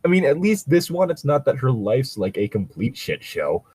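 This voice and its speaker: A man co-hosting a casual comedic fan fiction reading, joking crudely and swearing in an animated way. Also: medium pitch, normal speed, breathy edge, no room sound.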